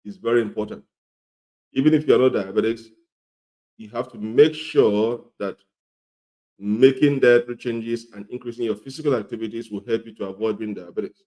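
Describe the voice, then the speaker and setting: A middle-aged man with a cold and a slightly hoarse voice, teaching an informal health lesson, repeating his points and often asking "okay?". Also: mid-range voice, a little mellow, rough recording. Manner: repetitive, often checks in with "okay?". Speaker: health educator.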